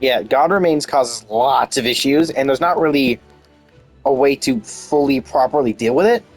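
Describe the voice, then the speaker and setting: A man's voice: mid-range voice, moderate pace, faint music behind. A man giving casual, off-the-cuff game commentary, explaining things informally and often interrupting himself. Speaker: game streamer.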